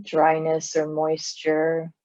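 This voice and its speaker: A woman leading a guided body scan meditation in a calm, soothing manner, leaving long pauses between phrases. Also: sits low, highs cut off. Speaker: meditation teacher.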